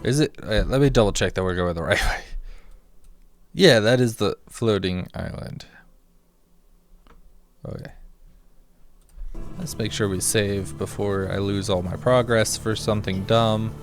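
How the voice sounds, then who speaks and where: Guy streaming a game, kinda low slightly scratchy voice, real slow rambly muttering, music going behind him. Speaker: small gaming streamer. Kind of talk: casual gameplay commentary, thinking aloud.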